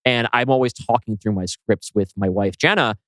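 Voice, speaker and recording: A man's voice: fairly low pitch, moderate pace, a bit bright, no echo. A man co-hosting a podcast as a scriptwriter, talking casually and informally.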